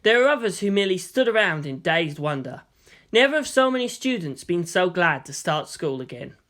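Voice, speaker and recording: A narrator reads fiction aloud in a medium-pitched voice. The delivery is expressive, with melodic rises and falls from phrase to phrase. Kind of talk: expressive fan fiction reading.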